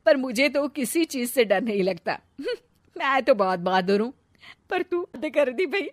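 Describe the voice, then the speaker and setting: A woman's voice: sits high, expressive, measured pace, no echo, clean studio sound, pitched above the performer's own voice. A woman voicing a boastful, mocking elder sister-in-law with a haughty, exaggerated manner in a family story.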